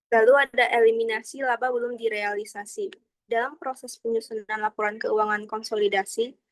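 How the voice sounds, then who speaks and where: A woman's voice: fairly high pitch, dry recording, rough recording. A young adult woman gives a formal, explanatory student presentation, partly reading from slides. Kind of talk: slide presentation of accounting material, partly read out.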